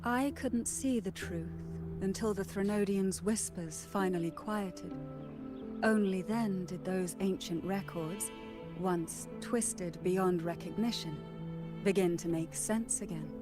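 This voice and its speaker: Slow solemn matriarch voiced by an actress, sad and wise, video game lore talk with music behind. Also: female voice, mid-range voice, natural voice. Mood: solemn, sorrowful. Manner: solemn, deliberate storytelling. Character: wise, sorrowful matriarch guarding ancient truths. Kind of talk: scripted video game dialogue. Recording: game audio under loud music.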